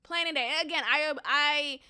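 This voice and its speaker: A woman's voice: sits high, moderate pace, sharp tone. A young woman with a Boston accent hosting a solo opinion podcast, talking in an opinionated, sarcastic and emphatic way. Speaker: podcast host.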